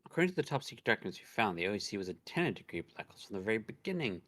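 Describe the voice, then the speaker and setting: A man's voice: fairly low pitch, sing-song, very fast, a bit bright, no echo. A man co-reading a visual novel on a stream, in a casual, chatty style. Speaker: co-reader on a game stream.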